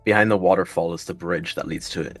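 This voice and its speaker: A stern, guarded warrior cat mentor, played by a man. His voice is very low and he speaks as a teacher in a fantasy roleplay, with music underneath. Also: steady tone, a little fast.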